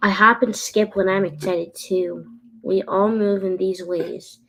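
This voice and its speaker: A woman co-reading a picture book aloud carefully, halting now and then and repeating phrases. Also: medium pitch, steady tone, a bit bright, rough recording.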